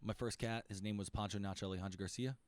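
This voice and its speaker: A man's voice: fairly low pitch, steady tone, very fast, darkish tone, dry recording. A young man hosting a podcast in a laid-back casual chat, swearing often and saying "like" a lot. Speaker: podcast host.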